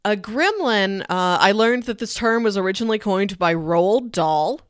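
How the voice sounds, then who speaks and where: A woman's voice: mid-range voice, lively intonation, normal speed, clean tone, no echo, clean studio sound. A woman co-hosting a podcast in casual chat, explaining things informally. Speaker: podcast co-host.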